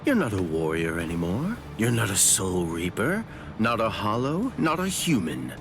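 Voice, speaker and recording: Sly anime villain's lieutenant, low pitch, animated intonation, taunting casual drawl, game dub. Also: male voice, moderate pace, noisy background. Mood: taunting menace. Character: sly, mocking male villain's lieutenant, playfully menacing.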